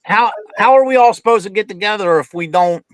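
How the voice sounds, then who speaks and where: A man's voice: high-pitched, a little fast, clear, resonant tone. A man in his fifties, a guest on an online show, chatting loosely and telling stories, often calling his host "man". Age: 50s. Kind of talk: casual interview chat.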